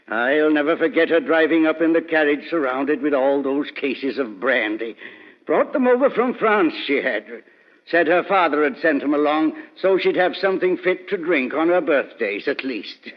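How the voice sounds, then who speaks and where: An actor playing an old, sentimental butler in an old-time radio mystery, chatty and familiar in a folksy way. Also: male voice, a little high. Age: older. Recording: dull, muffled old radio recording.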